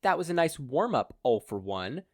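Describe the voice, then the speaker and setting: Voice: mid-range voice, big pitch swings, normal speed, a little mellow, pitched above the performer's own voice. A performer voicing a confident, wisecracking woman superhero with casual, taunting quips in an audio story.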